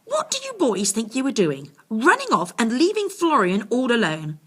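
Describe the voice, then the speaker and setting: A woman voicing a worried, indignant mother in a storybook, loud and scolding. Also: a little high, wildly swooping pitch, moderate pace, husky, voice pushed up, full character voice. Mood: cross scolding. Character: scolding, worried mother calling her boys. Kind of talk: storybook character reading.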